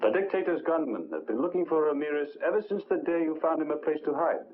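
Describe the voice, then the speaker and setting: An actor playing a grave, formal mentor who cautions a hero in a 1950s film drama. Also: male voice, on the high side, animated, fast talker, phone-quality sound, close to an everyday voice. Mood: stern warning. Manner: formal, admonishing. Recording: thin old film soundtrack, faint noise.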